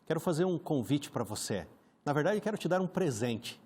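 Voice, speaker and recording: A man's voice: a little high, big pitch swings, moderate pace, darkish tone, breathy edge. A man with a Brazilian Portuguese accent hosting a conversational Bible study program, friendly and often chiming in with short agreements. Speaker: program host.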